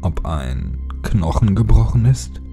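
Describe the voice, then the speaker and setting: Male horror audiobook narrator: low, very mellow and dark, dramatic and suspenseful, music underneath. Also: very animated. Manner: dramatic, suspenseful delivery with deliberate phrasing.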